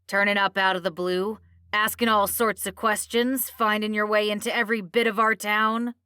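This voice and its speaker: Woman as a shrill, accusing male rabble-rouser. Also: level tone, moderate pace, sharp tone, smooth, dry recording, close to an everyday voice.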